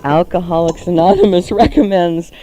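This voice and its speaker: Animated, playful woman hosting radio. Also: on the low side, normal speed, slight background noise. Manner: playful, exclaiming, laughs often. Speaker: radio cooking show host. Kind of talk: lively radio banter and reading aloud.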